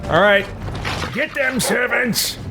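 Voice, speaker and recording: A man's voice: extremely high pitch, takes it slowly, over loud music. A man hosting a game livestream, talking casually as he plays and addresses his viewers. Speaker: livestream host playing a fantasy role-playing game.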